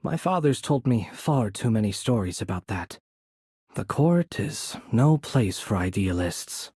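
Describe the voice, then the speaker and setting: A man playing a courteous, composed young noble mage in a video game, soft-spoken and slightly breathy, with polite, measured, formal phrasing. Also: on the low side, expressive, dry recording, clean studio sound. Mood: grave, measured. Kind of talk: video game character voice acting.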